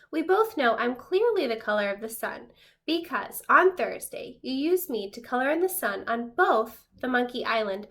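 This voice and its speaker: A woman's voice: high-pitched, creaky, sharp tone, full character voice. A woman voicing a smug, sniping orange crayon from a storybook, scornful and insistent.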